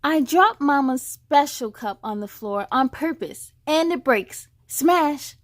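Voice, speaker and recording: Woman voicing a young storybook girl, high pitch, slow emphatic phrasing, quick-tempered big sister. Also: lively intonation, no room sound, studio quality. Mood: mad, upset.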